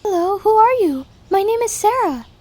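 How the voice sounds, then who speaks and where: A sweet, innocent young she-wolf voiced by a woman with an extremely high, mellow voice. She sounds curious and inquisitive, like a chief's daughter in a fantasy audio drama. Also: lively intonation, moderate pace. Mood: friendly.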